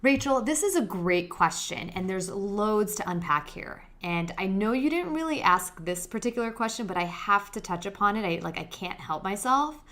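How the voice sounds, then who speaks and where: A woman's voice: medium pitch, expressive, moderate pace, few pauses, fairly bright tone. A young adult woman hosting a podcast, explaining legal topics step by step in a friendly, direct way with a soothing voice. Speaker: podcast host, lawyer.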